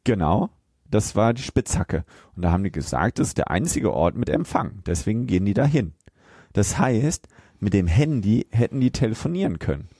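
Male podcast co-host, conversational, lowish mellow voice with animated intonation, dry sound. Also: moderate pace, husky, dry recording. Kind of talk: casual chat reviewing a film.

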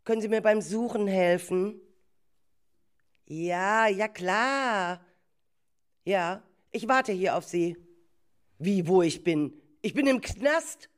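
A woman playing a worried, flustered primary school teacher in an amateur radio play, agitated and halting as she repeats her words. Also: mid-range voice, close to an everyday voice. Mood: anxious, pleading. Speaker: teacher character.

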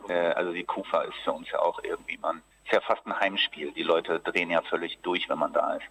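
A man with a very low voice chats casually about his work, hesitating often and laughing easily. He sits somewhat away from the microphone. A faint hum runs underneath. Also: fairly even intonation, a little fast, a bit bright, distant mic, rough recording. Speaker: actor, theater group founder being interviewed. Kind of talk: relaxed interview chat.

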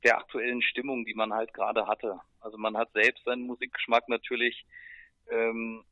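A man's voice: medium pitch, level tone, normal speed, dry recording. A man talking casually as a musician being interviewed, heard over a thin, band-limited connection. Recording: tinny, phone-like band-limited line.

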